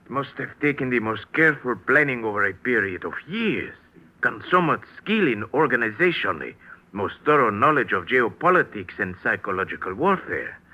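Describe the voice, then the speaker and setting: An actor playing a grave South American official who speaks formally and emphatically in a 1940s radio drama. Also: male voice, mid-range voice, sing-song, moderate pace, muffled recording. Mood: grave, emphatic. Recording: thin old radio recording.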